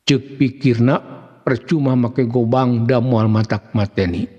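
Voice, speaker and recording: Husky Sundanese storyteller man in heavy reverb. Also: mid-range voice, measured pace, highs cut off. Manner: theatrical, expressive delivery. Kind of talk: dramatic scripted narration of a folk mystery tale. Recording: long hall-like reverb.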